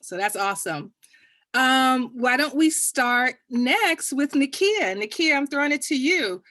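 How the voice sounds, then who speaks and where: A Texan woman moderates a panel, her bright, forceful voice full of upbeat encouragement for her guests. The sound is dry and close, with no room around it. She talks like someone rallying a crowd. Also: high-pitched, lively intonation, unhurried, no room sound. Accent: Texas. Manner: upbeat, hype-building, cheering on guests.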